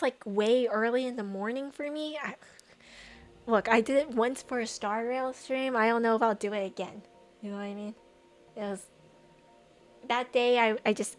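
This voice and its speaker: A woman streamer chatting casually with viewers, rambling, trailing off and laughing often, her voice a little tired. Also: on the high side. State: voice getting tired. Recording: faint music behind.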